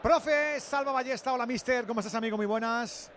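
A man's voice: very high voice, brisk, a bit bright, slight background noise. A man with an accent from Spain hosting live sports radio in a casual, bantering, teasing way. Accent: Spanish (Spain).

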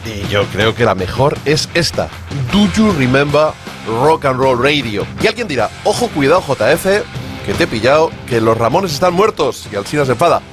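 A man with a mid-pitched, very animated voice chats playfully as a radio music presenter. Music plays clearly behind him. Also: moderate pace.

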